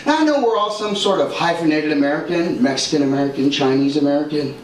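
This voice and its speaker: A man's voice: on the high side, animated, quick pace. A man doing a stand-up comedy set on a club stage, punchy and exaggerated, slipping into character voices. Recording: echoey club audio from far off, loud room noise.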